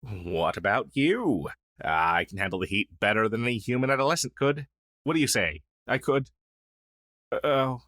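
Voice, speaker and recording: A man's voice: medium pitch, lively intonation, moderate pace, a bit bright, dry recording, studio quality, close to an everyday voice. A man playing a friendly, down-on-his-luck crow demon who was once a witch's familiar, in a fantasy audio roleplay, speaking earnestly with hesitant stammers. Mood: eager, pleading.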